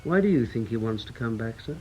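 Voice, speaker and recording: An actor playing a matter-of-fact intelligence officer in a radio drama, plain-spoken and reflective. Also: male voice, mid-range voice, lively intonation, quick pace, a little mellow, dull audio, slight background noise, natural voice. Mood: probing. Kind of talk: radio drama acting, first-person narration.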